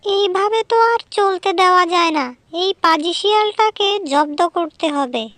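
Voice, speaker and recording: An old village granny from a cartoon folktale, fretful and indignant. The voice sits extremely high and exclaims, recorded very dry. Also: male voice, measured pace, sharp tone, no echo, exaggerated voice.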